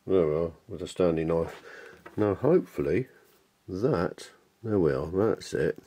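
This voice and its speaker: A man's voice: low voice, animated, measured pace. A British man giving a casual, unscripted how-to demonstration in a rambling, self-deprecating, chatty way. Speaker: hobbyist maker presenting a workshop tutorial. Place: home workshop indoors. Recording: clear background noise and clicks in a workshop.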